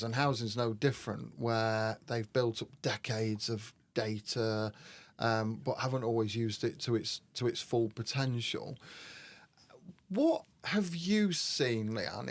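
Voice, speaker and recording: Agreeable man hosting an interview. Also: mid-range voice. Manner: agreeable, affirming, loose phrasing with repeats. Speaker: interviewer, housing association host. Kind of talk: conversational interview.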